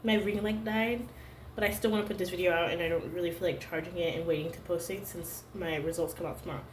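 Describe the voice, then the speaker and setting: A young adult woman vlogging to camera at home, talking in a halting, searching way full of "like" fillers. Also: lowish voice, steady tone, a little hiss or hum. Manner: hesitant, halting, heavy use of "like" fillers. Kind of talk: personal confessional vlog. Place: room at home. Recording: roomy home echo.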